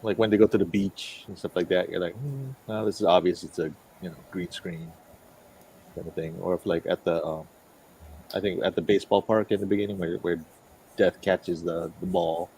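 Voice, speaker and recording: Lower-voiced man in tentative casual chat. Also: fairly even intonation, moderate pace, a little mellow, slight background noise, rough recording.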